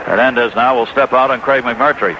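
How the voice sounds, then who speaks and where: A man's voice: medium pitch, steady tone, fairly quick, crowd noise. An American man giving live play-by-play baseball commentary as a radio announcer. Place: ballpark broadcast booth. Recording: dull, noisy 1980s radio broadcast.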